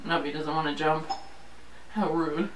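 A woman's voice: deep voice, fairly even intonation, a little slow. A woman laughs and grumbles at a video game, tossing out exasperated exclamations between giggles. Her voice is caught from across an echoey living room by a distant camera. A faint hum of room noise runs behind her. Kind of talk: casual chat while playing a video game. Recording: roomy, distant home video.

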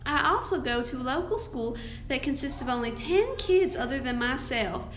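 A woman's voice: sits high, expressive, normal speed, slight background noise. A young woman playing an earnest, hopeful thirteen-year-old frontier girl in a recited storytelling monologue. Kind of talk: scripted first-person monologue. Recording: roomy, echoing amateur recording.

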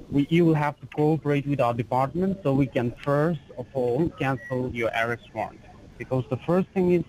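A man's voice: medium pitch, darkish tone, a little hiss or hum. Insistent man on a phone line. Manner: insistent and formal, with halting restarts. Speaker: phone scammer posing as an officer. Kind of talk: scripted phone call.

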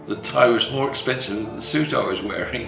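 A man in his sixties from England, an amateur choir singer talking softly and hesitantly in a documentary interview. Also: medium pitch, a little fast, vocal fry. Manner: soft-spoken, hesitant, chuckling. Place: living room with leather sofas. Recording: roomy sound with music behind.

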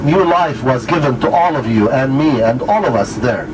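A man's voice: medium pitch, lively intonation, moderate pace. A middle-aged man, guiding a bus tour in a fervent, preacher-like way with repeated rhetorical questions. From Greece. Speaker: tour guide. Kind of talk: guided tour commentary. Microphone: handheld mic, heard from camera a few rows back. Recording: distant voice over loud bus noise.

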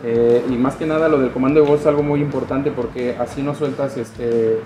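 A man's voice: mid-range voice, fairly even intonation, brisk, background noise. A young man with a Mexican Spanish accent, a sales adviser explaining a product casually, with frequent filler words. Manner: casual, many "este" fillers. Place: motorcycle gear shop, indoors. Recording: echoey shop with music.

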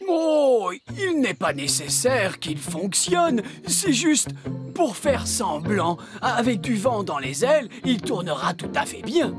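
A man's voice: sits high, big pitch swings, slow, music in the background, full character voice. A man voicing a big, lazy, jolly garden gnome in a children's cartoon, with a leisurely sing-song manner. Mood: breezy. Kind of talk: dubbed children's cartoon acting.

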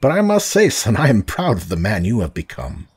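A remorseful middle-aged starship captain and father, voiced by a man. His husky, low voice rises and falls a lot as he speaks. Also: wildly swooping pitch, brisk, exaggerated voice.

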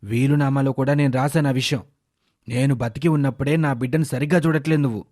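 A man voicing a caring middle-aged father and husband, earnest and reasoning, in an animated moral story. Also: medium pitch, quick pace, natural voice. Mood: firm, reproachful.

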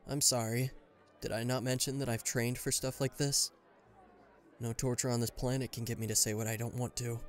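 A man's voice: medium pitch, level tone, moderate pace, soft background music, close to an everyday voice. A man playing an aloof, reluctantly caring bodyguard in a roleplay audio drama, talking to the listener in a dry, guarded, mildly exasperated way. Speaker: voice actor.

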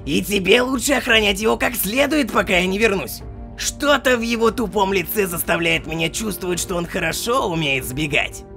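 A man's voice: high voice, expressive, moderate pace, vocal fry, bright, forceful tone, faint music behind, exaggerated voice. A man voicing a bossy, menacing, short-tempered skeleton monster in a theatrical comic dub, insulting and commanding. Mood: stern, commanding. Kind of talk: fan comic dub acting.

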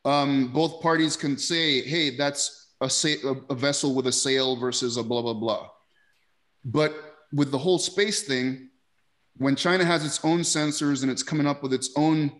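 A man's voice: medium pitch, fairly bright tone. A man in his forties hosting a panel over a video call, emphatic and casual, often repeating words. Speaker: host. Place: indoors, dark virtual background. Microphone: headset earphones on video call.

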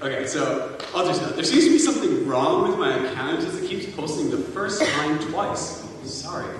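Male comic panellist, lively swinging intonation, rambling delivery, distant mic over crowd. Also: a little high, moderate pace. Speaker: panel performer. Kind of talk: comic ad-libbed recap and reading. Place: large conference hall, panel table before audience. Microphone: far camera. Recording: distant camera mic, echoey hall, audience noise.

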